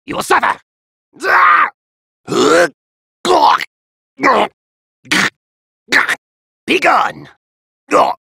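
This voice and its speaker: Casting: arrogant alien parasite villain, anime game; harsh rasp, wildly swinging pitch, menacing taunts. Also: male voice, dry recording, clean studio sound, full character voice. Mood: battle shouts. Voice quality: raspy, gravelly. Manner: grandiose, menacing, taunting, often shouting. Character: arrogant, sadistic alien parasite conqueror, male, gloating villain. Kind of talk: theatrical anime game battle lines.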